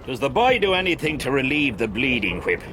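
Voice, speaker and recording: An actor playing a scheming, demanding Irish gentleman with an Irish accent in a period radio drama, gruff and peremptory in manner. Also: mid-range voice, big pitch swings, brisk, background noise, natural voice. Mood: suspicious, demanding.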